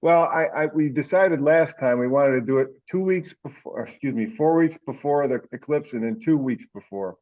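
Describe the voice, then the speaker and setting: A man's voice: mid-range voice, moderate pace, few pauses. A man with a mid-range voice chairs a conference call in an informal, rambling style. The audio is dull and lo-fi, like an online meeting. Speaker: meeting host. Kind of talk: casual conference-call talk. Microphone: teleconference call.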